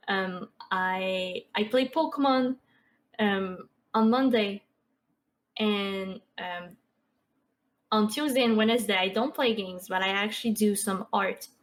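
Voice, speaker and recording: A woman in her twenties with a Québécois French accent, a guest on a podcast, chatting casually with many fillers and soft laughs. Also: mid-range voice. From Quebec. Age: 20s. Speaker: podcast guest, streamer and artist. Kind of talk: casual interview chat.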